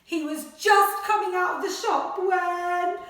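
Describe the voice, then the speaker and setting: A woman primary school teacher speaking to children and reading a picture book aloud in a dramatic, expressive way. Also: extremely high pitch. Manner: dramatic, expressive, with gasps and suspenseful pauses. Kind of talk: animated children's story reading and lesson. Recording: very echoey room, slightly distant mic.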